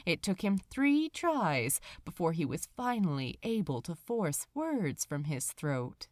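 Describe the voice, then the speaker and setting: A woman reading a story aloud as narrator, with deliberate, dramatic phrasing. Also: lowish voice, big pitch swings, darkish tone, dry recording, clean studio sound. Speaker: audiobook-style fan fiction narrator. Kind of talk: expressive scripted story narration.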